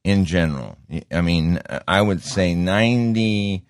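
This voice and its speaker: A middle-aged man with a deep voice hosting a show in a casual monologue, rambling and interrupting himself, often repeating words. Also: measured pace, no echo, studio quality. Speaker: show host.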